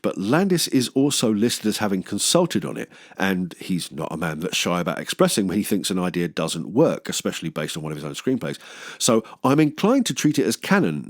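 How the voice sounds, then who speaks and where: A man's voice: sits low, dry recording, clean studio sound. A man hosting a film review show, moving between scripted analysis and wry, sweary, joking asides. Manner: wry, joking, sweary, acts out imagined quotes.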